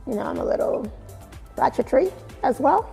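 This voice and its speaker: A woman from Nigeria with a Nigerian accent mixed with British and American, giving expressive, emphatic answers in a casual interview. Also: sits high, normal speed, creaky. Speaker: fashion blogger being interviewed. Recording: very echoey room with music. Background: music.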